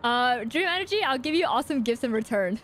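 A woman's voice: fairly high pitch, expressive, fast, fairly bright tone, slight background noise. A woman live streamer giving casual, joking, sweary reactions while playing a game. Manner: playful, joking, swears freely.

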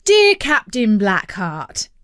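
A woman's voice: medium pitch, wildly swooping pitch, takes it slowly, fairly bright tone, clear, resonant tone, dry recording, clean studio sound, heavily acted. Woman playing a playful, declamatory storybook dad. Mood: mock-grand. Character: jovial father playing along with mock-grand speech. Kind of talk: children's storybook reading.

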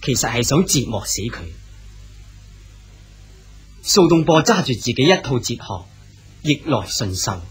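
A man's voice: mid-range voice, husky. A man with a Cantonese accent giving scripted historical narration.

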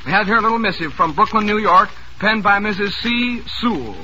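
A man's voice: high voice, animated, fairly quick, sharp tone. An American man hosting a 1940s radio quiz show with jovial, chatty, showman-like patter. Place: radio studio. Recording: noisy vintage radio broadcast recording.

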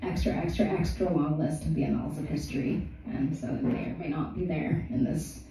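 A woman's voice: low-pitched, fairly quick, warm tone. Author at open mic, middle-aged woman, hesitant and chatty, far off in a roomy space. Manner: chatty, hesitant fillers, self-deprecating. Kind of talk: casual talk and story reading. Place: small art gallery, indoors. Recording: echoey gallery from far off.

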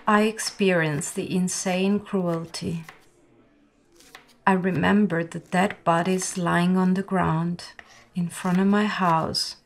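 A woman in her late twenties from Bosnia with a Bosnian accent, narrating a scripted documentary in a reflective, measured manner. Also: fairly low pitch, steady tone, low-quality recording. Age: late 20s. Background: music.

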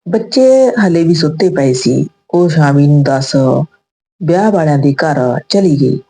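A woman with a low-pitched, soft-edged mellow voice. She reads scripted narration as a storyteller, telling an emotional moral tale. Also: animated, a little slow, slightly rough, rough recording.

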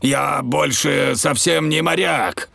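A man voicing a jolly old sea-captain dog in a children's cartoon, hearty and expressive. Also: on the high side, takes it slowly, vocal fry, no echo, full character voice.

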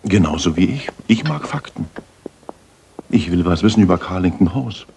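An actor playing a haughty, self-assured gentleman detective in a radio play, speaking in a precise, lecturing, theatrical way. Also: low-pitched, lively intonation, normal speed, vocal fry. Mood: probing, cool. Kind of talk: German radio play acting, 1980s. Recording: 1980s radio play with audible noise.

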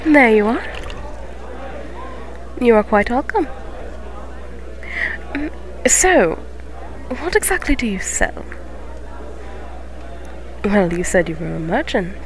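A woman playing a gentle, motherly young cat witch who keeps a tavern, speaking softly and formally in an ASMR fantasy roleplay. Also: medium pitch, expressive, a little hiss or hum, low-quality recording. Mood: friendly, curious. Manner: soft-spoken, formal, unhurried phrasing. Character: gentle eighteen-year-old neko witch tavern keeper, motherly, polite.

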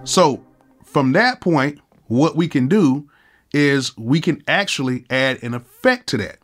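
A man in his thirties with a cold and a nasal voice, casually walking through music software in a laid-back, slangy way from his home studio. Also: mid-range voice, animated, unhurried. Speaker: music producer and YouTube host.

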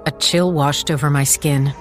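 Woman playing a wry small-town police chief, deep-ish voice, firm and dry, narrating over music. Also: steady tone, normal speed, natural voice. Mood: guarded, reflective. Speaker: narrator and lead character. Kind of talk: first-person dramatized audiobook narration.

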